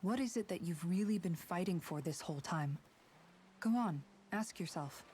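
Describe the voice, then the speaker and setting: An actress playing a frank, fiercely supportive young fantasy fighter in a video game, earnest and firm. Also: female voice, on the low side, lively intonation, moderate pace, mellow, a little hiss or hum, lightly acted. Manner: earnest, firm, plain-spoken. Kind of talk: dramatic video game voice acting.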